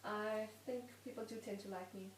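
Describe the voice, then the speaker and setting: A woman's voice: medium pitch, steady tone, moderate pace, a crowd in the background. Hesitant woman from South Africa, roomy. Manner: hesitant, self-effacing. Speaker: workshop participant. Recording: echoey room from far off, faint noise.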